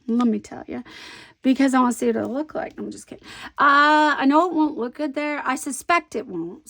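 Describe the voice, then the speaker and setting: A woman from the American South with a softened Southern accent, rambling and amusing herself as she narrates her scrapbooking. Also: a little high, vocal fry. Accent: light Southern American. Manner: rambling, self-amused, talks to herself. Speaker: scrapbooking vlogger. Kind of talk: chatty crafting commentary while working.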